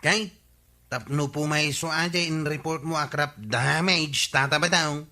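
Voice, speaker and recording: A man's voice: fairly high pitch, steady tone, unhurried, pushed and bright, heavily acted. A man with an Ilocano Filipino accent playing a nosy, blunt, disapproving villager in a radio comedy drama. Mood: accusing.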